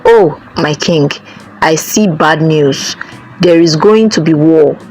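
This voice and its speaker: Woman reading a grave priest in a folktale, low voice, solemn and ominous. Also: takes it slowly, darkish tone, a little hiss or hum, low-quality recording. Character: grave, foreboding male chief priest.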